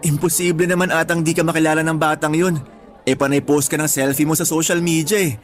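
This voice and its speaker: A man's voice: on the high side, steady tone, quick pace, natural voice. An actor playing an easygoing, affectionate male partner who banters casually in a radio drama. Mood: teasing reassurance. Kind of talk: Tagalog radio drama acting.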